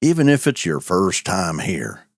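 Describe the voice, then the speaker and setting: A man's voice: low-pitched, wildly swooping pitch, unhurried, a crowd in the background. A man narrating a cozy audio story as host, with a folksy cowboy drawl and country sayings.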